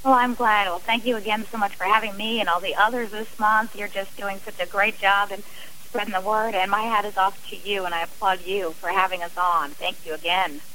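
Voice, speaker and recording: A woman's voice: mid-range voice, steady tone, fairly quick, pushed and bright, a little hiss or hum, poor audio. A woman speaking earnestly and effusively as a guest in a radio interview. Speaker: interview guest, abuse survivor and advocate.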